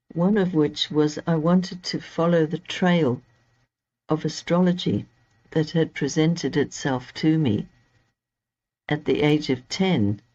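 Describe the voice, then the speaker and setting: A woman's voice: low voice, warm tone. A woman from Zimbabwe, a guest astrologer, telling stories from her past in a reflective, anecdotal way over a remote call. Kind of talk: conversational interview storytelling. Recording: slightly dull lo-fi audio.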